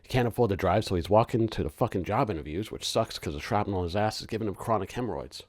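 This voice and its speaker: A man performing a sharp-witted, defiant young working-class man in a sardonic, colloquial theatrical monologue. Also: fairly low pitch, fast, close to an everyday voice. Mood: sarcastic, defiant. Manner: sardonic, colloquial, mounting rant.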